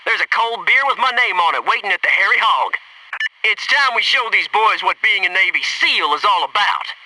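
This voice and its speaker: A brash young SEAL squadmate from a shooter game, with a high, very forceful, sharp voice. He tosses out military banter with a cocky edge, sounding set back from the mic. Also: lively intonation, normal speed, recorded from a distance, slight background noise, exaggerated voice, rough recording. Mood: joking. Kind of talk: scripted video game squad radio lines.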